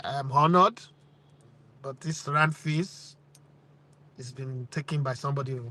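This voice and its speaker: A man in his forties from Nigeria with a Nigerian accent, hosting his sports channel with lively rhetorical questions and bits of Pidgin. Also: medium pitch, measured pace. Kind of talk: opinionated talk to camera.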